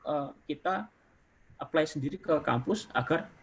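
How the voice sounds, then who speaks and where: Husky young guy chatting on a video call, laid back, lots of fillers. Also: a little high, measured pace. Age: young adult. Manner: relaxed, many fillers and trailing "gitu". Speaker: university student guest. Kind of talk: casual interview over video call.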